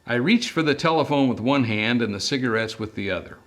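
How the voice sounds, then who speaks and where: A man reading detective fiction aloud as an author, in a measured, deliberate storytelling manner. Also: medium pitch, lively intonation. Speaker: author reading from own book. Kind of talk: scripted narration, audiobook-style reading of a detective novel.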